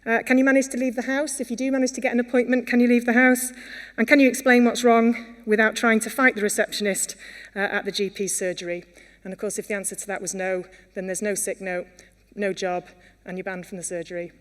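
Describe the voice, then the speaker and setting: A woman's voice: a little high, steady tone, fast talker. A Welsh woman giving an earnest conference talk, with many fillers and restarts. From Wales. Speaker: conference speaker. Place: conference hall. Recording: long hall reverb.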